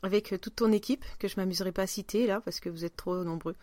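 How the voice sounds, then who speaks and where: A woman's voice: mid-range voice, fast, warm tone, no echo. Casual woman podcast host, dry close sound. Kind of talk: casual conversational interview.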